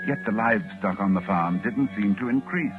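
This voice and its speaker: An actor playing a grave, learned doctor who narrates in formal, ominous 1940s radio style. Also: male voice, fairly low pitch, normal speed, lightly acted. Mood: ominous, urgent. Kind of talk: 1940s radio acting, dramatic scripted narration. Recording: muffled, narrow vintage 1940s radio, music.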